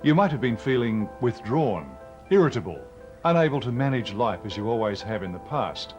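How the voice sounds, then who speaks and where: An Australian man presenting an educational film in a measured, explanatory, scripted style. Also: medium pitch, very animated, lo-fi sound, over music.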